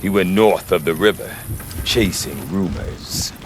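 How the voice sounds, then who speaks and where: An actor playing a folksy, wry middle-aged trader in a video game, chatty and given to colloquial sales patter and hesitations. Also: male voice, low voice, unhurried, background noise. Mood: wry, confiding. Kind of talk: video game character acting.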